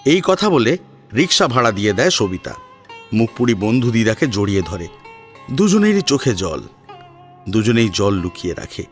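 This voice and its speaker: A man reading scripted story narration in an expressive, dramatic storytelling style. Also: on the low side, moderate pace, pauses often. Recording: soft music under narration. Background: sitar music.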